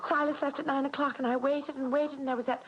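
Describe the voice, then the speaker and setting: An actress playing an anxious, devoted young village woman in an old-time radio drama, halting and melodramatic. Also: high voice, little pitch movement, lightly acted. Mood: worried. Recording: muffled old radio recording.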